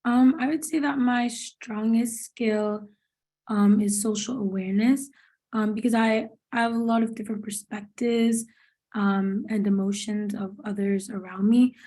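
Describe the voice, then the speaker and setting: A woman's voice: medium pitch, steady tone, measured pace, warm tone. A young woman, a student panelist, giving a thoughtful answer in her own words.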